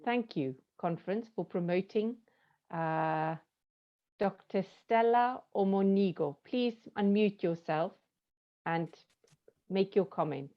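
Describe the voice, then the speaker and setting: A woman's voice: mid-range voice, slow, highs cut off. A woman chairing an academic panel over a video call, calling on speakers in a courteous, direct way. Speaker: session chair.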